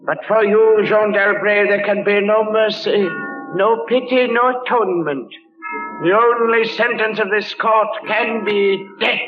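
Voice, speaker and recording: An actor playing a solemn, merciless Grand Inquisitor in an old radio drama, measured and declamatory. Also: male voice, high-pitched, soft background music. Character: solemn, commanding Spanish Grand Inquisitor priest, coldly merciless. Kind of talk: 1940s-50s radio drama acting. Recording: dull old radio recording.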